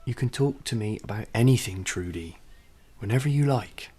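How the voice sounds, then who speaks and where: An actor playing a patient, concerned man in a naturalistic radio drama, with a husky voice and a gentle, reassuring manner. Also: fairly low pitch, animated, normal speed. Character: patient, concerned male partner or friend.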